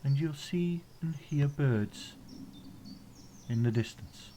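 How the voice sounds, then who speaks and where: A man with an English accent leading a guided meditation in a gentle, soothing, instructional way, leaving pauses between phrases. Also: fairly high pitch, a little hiss or hum. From England.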